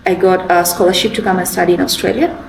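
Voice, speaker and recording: A young woman with a Kenyan accent, answering interview questions in an earnest, measured way with frequent restarts. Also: on the low side, steady tone, vocal fry. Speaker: interview guest. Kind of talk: casual interview. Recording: roomy and distant with background noise.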